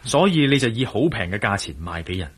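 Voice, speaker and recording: A man playing an earnest, fair-minded adventurer in a radio drama, probing and gently admonishing. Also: medium pitch, very animated, fast talker, a little hiss or hum, close to an everyday voice. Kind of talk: Cantonese radio drama acting.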